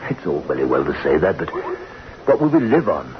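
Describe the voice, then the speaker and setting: Nervous, swooping-voiced weak husband. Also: male voice, very deep voice, sing-song, normal speed. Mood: hesitant, defensive. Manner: hesitant, stammering, agitated. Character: weak, nervous husband plotting against his wife. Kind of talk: 1960s radio drama acting. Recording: muffled noisy old radio recording.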